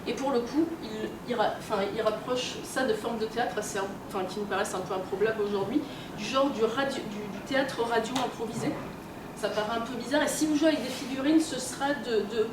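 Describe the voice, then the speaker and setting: A woman's voice: on the high side, quick pace, recorded from a distance. Woman lecturing in an echoey, noisy room. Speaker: conference speaker, game publisher and researcher. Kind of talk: informal public lecture. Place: convention talk room, indoors. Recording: echoey room from afar, strong background noise.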